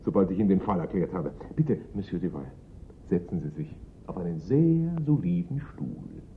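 Radio drama: teasing police sidekick, lowish lively voice, narrow phone-like sound. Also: male voice, moderate pace, a little hiss or hum. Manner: drily joking, teasing. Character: cheeky, teasing male police assistant. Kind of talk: German radio play acting.

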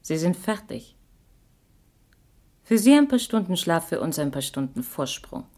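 A woman's voice: on the low side, big pitch swings, unhurried, mellow. An actress playing a cool, hard young gunwoman in a noir radio drama, commanding and teasing. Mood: cool, threatening.

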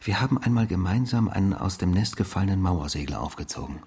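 Enigmatic stranger posing as a husband, low gravelly voice, calm, controlled, provocative, radio drama. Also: male voice, quick pace, full character voice. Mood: quiet, intimate.

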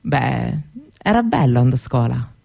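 A woman's voice: extremely low pitch, sing-song, vocal fry, warm tone, heavily acted. Woman playing a chatty Roman Roma teenage girl. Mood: chatty, earnest. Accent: Roman Italian. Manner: colloquial, halting, seeking agreement with little tag questions. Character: chatty seventeen-year-old Roma girl, proud, candid, wistful. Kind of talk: conversational theatrical monologue for radio.

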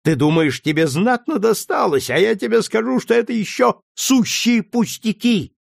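A man voicing a cruel, brutal male plantation master who shouts and mocks. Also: on the high side, very animated, normal speed, no echo, studio quality, pitched above the performer's own voice. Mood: menacing. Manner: harsh, shouting, mocking.

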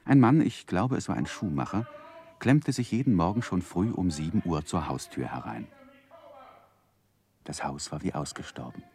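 A man's voice: sits low, lively intonation, normal speed, gravelly, darkish tone. An actor playing a grown man who earnestly recalls his boyhood, narrating in a literary 1960s German radio play style. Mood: wry.

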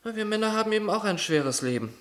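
A man's voice: high-pitched, lively intonation, fast, a bit bright. An actor playing a teasing, affectionate young man, an easygoing brother with a chatty, wry manner in a radio play. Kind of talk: German radio play acting.

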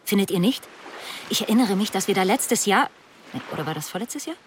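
An actress playing a frivolous young mistress on holiday in a radio drama, chattering in a languid drawl. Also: female voice, medium pitch, animated, fairly quick, a little hiss or hum, close to an everyday voice. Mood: chatty, dreamy. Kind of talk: naturalistic radio drama acting.

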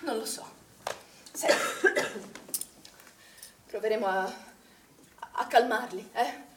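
Stage drama: anxious, probing pool manager, high register, firm but uneasy, distant roomy recording. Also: female voice, takes it slowly, slight background noise. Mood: uneasy reassurance. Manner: insistent, earnest, questioning. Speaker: stage actress. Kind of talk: naturalistic Italian stage drama.

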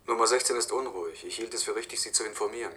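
A man playing a brisk research assistant reporting to his chief in a radio science-fiction drama. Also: lowish voice, bright, forceful tone, husky. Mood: urgent, deferential. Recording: distant, off-mic voice.

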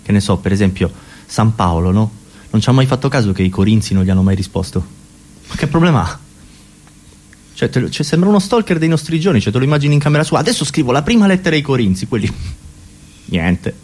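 A man's voice: lowish voice, lively intonation, brisk, long pauses, darkish tone. A man performing a conversational, ironic comic monologue for radio theatre, musing philosophically and talking to imagined listeners.

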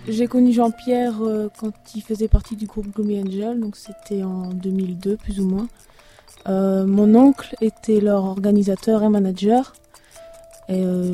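Woman from Belgium, halting and full of fillers, very dark mellow tone, faint music behind; interview after a concert. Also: mid-range voice. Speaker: singer and lyricist of a duo. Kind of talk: hesitant interview answers.

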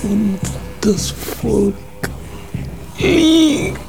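An actress playing a solemn chanter of bleak verses, delivered as a drawn-out incantation in a radio drama interlude. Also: female voice, medium pitch, animated, creaky, heavily acted. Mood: dark, mournful. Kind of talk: lyrical radio drama interlude over music. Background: music.

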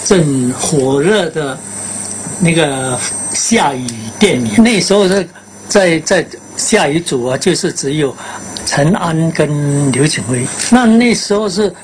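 An older woman, a veteran broadcaster, recalling the past haltingly in an interview. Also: sits low, lively intonation, a little hiss or hum, rough recording. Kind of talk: reminiscing in an interview.

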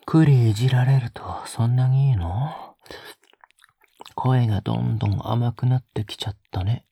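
A man playing a tender, teasing young male lover in an intimate romantic audio drama, breathy and often whispering in a soft, coaxing way close to the microphone. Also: mid-range voice, normal speed, dry recording. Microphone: close microphone, dry sound.

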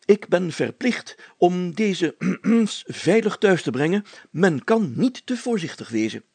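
A man's voice: high-pitched, expressive, unhurried, darkish tone, breathy edge, no room sound, voice pushed up, full character voice. A man voicing a pompous, solemn, overcautious village mayor in a storybook reading, speaking in formal, stately phrases. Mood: pompous, grave.

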